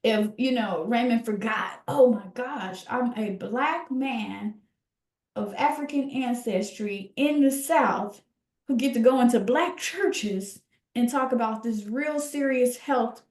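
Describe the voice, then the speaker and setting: A woman with a higher-than-average voice speaks in a roomy, echoing space. She talks like a scholar co-hosting a podcast, reflective and conversational. Her delivery is emphatic and halting, with words repeated to drive points home. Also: a little mellow.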